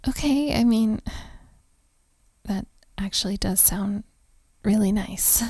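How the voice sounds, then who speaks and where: A woman playing a flustered, self-deprecating young hotel maid in an intimate audio roleplay, speaking haltingly with soft, giggly breathiness. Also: mid-range voice, vocal fry, no echo, studio quality. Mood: touched, shy. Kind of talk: intimate audio roleplay, one-sided conversation.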